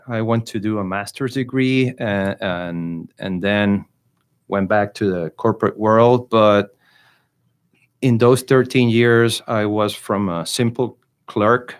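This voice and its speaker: A man's voice: lowish voice, clean tone. A middle-aged man from Venezuela with a Venezuelan Spanish accent, a native Spanish speaker, talking hesitantly with many fillers as an interview guest. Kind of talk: interview conversation.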